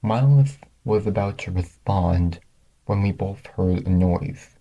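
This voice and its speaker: A man's voice: low-pitched, takes it slowly, warm tone, lo-fi sound. A man narrating first-person fan fiction, reading it aloud as a storyteller.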